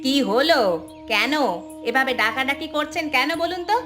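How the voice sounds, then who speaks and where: A woman's voice: sits high, expressive, normal speed, music in the background. A woman voicing a rude, impatient daughter-in-law in a cartoon folk tale. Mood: irritated, scornful.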